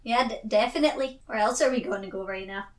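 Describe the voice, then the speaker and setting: A woman's voice: a little high, quick pace, far from the mic, slight background noise. A woman chatting casually as a player in a tabletop game. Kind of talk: casual chat.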